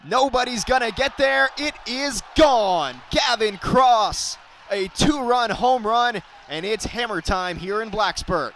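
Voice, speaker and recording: A man's voice: very high-pitched, takes it slowly, a bit bright, a little hiss or hum. A young man calling baseball play-by-play for radio. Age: young adult. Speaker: play-by-play announcer.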